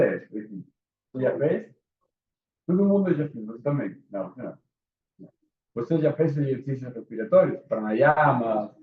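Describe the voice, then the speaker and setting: Chilean man lecturing in an echoey room. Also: medium pitch. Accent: Chilean Spanish. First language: Spanish. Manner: casual, engaging, asks the audience questions. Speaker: instructor. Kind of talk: live lecture to a small group. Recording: echoey room, phone-like sound.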